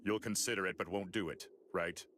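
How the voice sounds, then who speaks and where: A man voicing a solemn male android fighter in an anime video game, terse, guarded and deadpan. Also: low voice, brisk, a little hiss or hum. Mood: wary deadpan. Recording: loud music under the voice.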